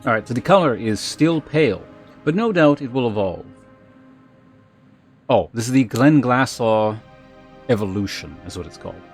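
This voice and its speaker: A man's voice: mid-range voice, animated, moderate pace, pauses often, breathy edge. Male livestreamer over music. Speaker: live streamer host. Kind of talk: casual livestream chat and reading aloud.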